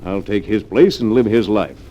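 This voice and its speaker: An actor playing a domineering, scheming wealthy fugitive in middle age, brusque and commanding, in 1940s radio drama style. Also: male voice, on the low side, normal speed, warm tone, natural voice. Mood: forceful, impatient. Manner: brusque, commanding, self-assured. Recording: dull, lo-fi 1940s radio recording.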